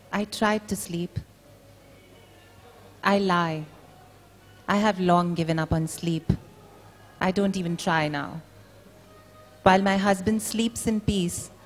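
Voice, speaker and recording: A woman reads a story aloud to an event with dramatic delivery. Her voice sits at an average pitch, and the large room adds a long, echoing tail. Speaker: reader at a book event. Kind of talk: dramatic literary reading aloud. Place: large indoor event venue. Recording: echoey hall.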